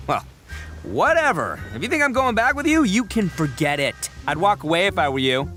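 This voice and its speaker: A man's voice: sits high, very animated, moderate pace, pushed and bright, faint music behind, heavily acted. A man playing a brash, big-hearted young oni gang boss in a video game, blustering and theatrical. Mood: defiant.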